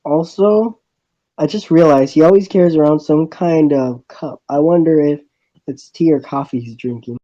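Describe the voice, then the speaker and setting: A man's voice: on the high side, normal speed, dark tone, no room sound, highs cut off. A man reading a visual novel aloud, often stumbling and restarting phrases.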